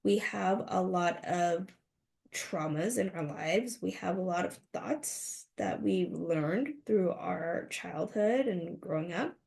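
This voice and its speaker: Earnest woman podcaster with a gravelly edge. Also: lowish voice, slow, a little mellow. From United States. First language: Mandarin. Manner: earnest, inspirational, rhetorical questions. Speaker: podcast host. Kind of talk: motivational podcast monologue.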